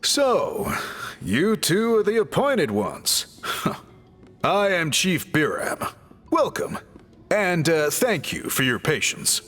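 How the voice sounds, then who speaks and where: A towering, courteous chief in an anime game, his fairly high voice ringing in a long reverb. He is formal yet a little awkward, with a lively, varied melody. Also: slow pace, heavily acted. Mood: welcoming. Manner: hesitant, formal, reassuring. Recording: long hall reverb, faint music.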